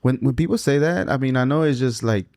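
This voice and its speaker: A man from Mexico hosting a podcast, talking in a relaxed, conversational way and often nodding along with short affirmations. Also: mid-range voice, fast, no echo, studio quality. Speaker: podcast host. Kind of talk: casual podcast interview.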